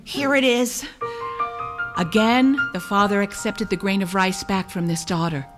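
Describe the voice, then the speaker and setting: A woman storyteller narrates a fairy tale for children over clearly audible music. Her pitch sits in the middle range, and she speaks deliberately and dramatically, giving the story expressive weight. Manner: dramatic, deliberate, acts out characters.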